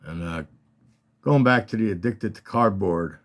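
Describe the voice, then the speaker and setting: A man with a slightly scratchy voice, casually and haltingly talking through his collection as a hobbyist host. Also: lowish voice. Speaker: collector hosting a card showcase. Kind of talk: casual rambling show-and-tell talk.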